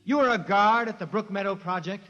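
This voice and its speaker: An actor playing a courtroom prosecutor questioning witnesses, in 1950s radio drama. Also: male voice, sits high, bright, forceful tone, highs cut off, faint music behind. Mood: formal. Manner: measured questioning. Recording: old radio broadcast with long reverb.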